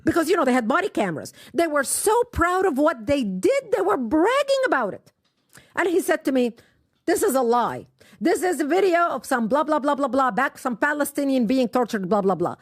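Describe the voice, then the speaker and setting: A middle-aged woman from Lebanon with a high, lively voice that swoops up and down as she tells her story. She speaks with impassioned emphasis, recorded close and dry with no room sound. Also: a little fast, no room sound. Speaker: guest. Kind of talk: personal testimony in an interview.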